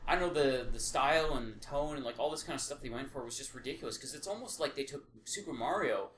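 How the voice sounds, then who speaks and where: A man's voice: mid-range voice, animated, quick pace, a bit bright, a little hiss or hum. A man co-hosting a podcast in casual chat, opinionated and emphatic, with swearing. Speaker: podcast co-host. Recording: echoey room.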